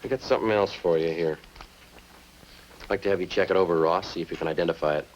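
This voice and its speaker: Male actor, terse detective sergeant, bottom-deep voice, understated, methodical, 1950s radio drama, faint background noise. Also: quick pace, dull audio, lightly acted. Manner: clipped, matter-of-fact, understated. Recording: noisy old-time radio recording.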